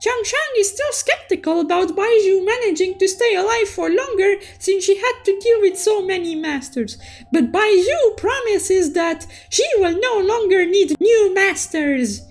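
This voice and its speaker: Extremely high-pitched woman, childlike cartoon unicorn persona, excitable storytelling, exclamatory and playful, swooping intonation, music underneath. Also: a little slow. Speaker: storyteller recapping a game story. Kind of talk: animated storytelling recap with sound-effect exclamations. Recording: music bed behind the voice. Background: background music.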